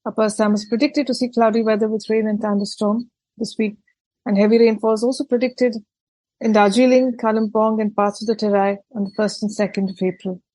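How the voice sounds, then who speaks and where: A woman reading a tea industry news report, steady with small slips. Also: medium pitch, a little fast, rough recording.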